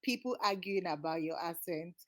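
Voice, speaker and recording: A woman's voice: on the low side, moderate pace, fairly bright tone. A woman hosting a podcast interview. She talks casually and laughs easily. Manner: casual, laughs easily, short backchannel replies. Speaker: podcast host.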